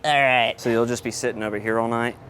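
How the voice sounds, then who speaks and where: A man chatting casually as an audio technician on the job, informal and thinking out loud. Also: medium pitch, fairly even intonation, fast talker. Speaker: audio technician setting up a PA system. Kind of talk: casual on-the-job vlog chat. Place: on location at an event venue. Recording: noisy on-location event setup.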